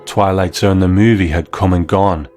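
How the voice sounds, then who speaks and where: Low-voiced man narrating as host. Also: steady tone, normal speed, darkish tone, soft background music. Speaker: host, interviewer. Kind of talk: scripted documentary narration.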